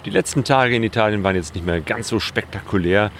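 Man, travel vlogger, low voice, relaxed, lots of fillers, outdoors, faint background noise. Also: fast. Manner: relaxed, filler-heavy talk. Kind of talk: casual travel vlog narration.

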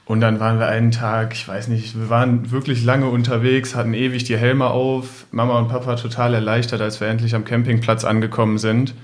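A young adult man with a flat intonation and a medium pitch. He tells stories informally as a guest on a podcast. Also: a little fast, hardly any pauses. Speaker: podcast guest, grown-up son. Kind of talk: casual anecdotal storytelling.

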